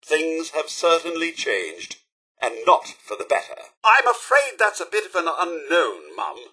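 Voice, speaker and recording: An actor voicing a prim British robot butler in a video game, formally polite with a fussy, disdainful edge. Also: male voice, a little high, huge pitch swings, measured pace, pushed and bright, breathy edge, full character voice. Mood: troubled, reproachful. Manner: formal, fussy, clipped courtesy with icy disdain. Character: prim robot butler, polite but sniffy male servant. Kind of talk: video game companion dialogue.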